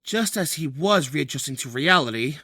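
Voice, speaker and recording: A man acting as the storyteller of an audio drama, reading scripted narration in a husky voice. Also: fairly high pitch, animated, moderate pace, pushed and bright, no echo, clean studio sound, heavily acted. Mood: neutral.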